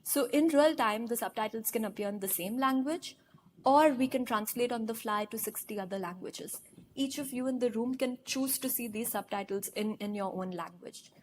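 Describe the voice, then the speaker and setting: A woman's voice: on the high side, a little hiss or hum. Upbeat woman presenter. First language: Hindi. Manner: brisk, upbeat, asks the audience questions. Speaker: conference presenter. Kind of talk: live product demo talk. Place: large conference hall.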